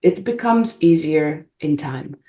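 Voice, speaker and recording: A middle-aged woman from Bosnia and Herzegovina, a gentle, reflective guest speaking English as an over a video call. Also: low-pitched, lively intonation, slow. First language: Bosnian and Croatian. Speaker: regression facilitator, guest. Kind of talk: casual interview chat. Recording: echoey room.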